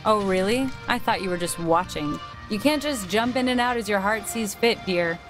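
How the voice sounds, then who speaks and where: A domineering teenage mean girl with an American accent , acted by a woman. She is sarcastic and cutting, with a deadpan bite to her delivery. Faint game music plays behind the dialogue. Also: mid-range voice, moderate pace, lightly acted. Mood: taunting. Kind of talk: naturalistic video game acting.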